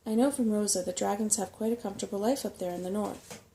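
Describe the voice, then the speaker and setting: A bustling old male rat from a bedtime storybook, acted out by a woman. The voice is mellow and crackly, fussy and emphatic, full of know-it-all chattiness. A light background noise sits under it. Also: mid-range voice, fast, close to an everyday voice. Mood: chatty, confident.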